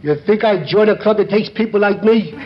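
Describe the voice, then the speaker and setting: An actor playing a slow-witted, innocent, hesitant tavern regular in a 1950s radio comedy. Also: male voice, sits high, close to an everyday voice. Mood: dim, innocent. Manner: dopey, hesitant. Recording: very noisy muffled 1950s radio broadcast.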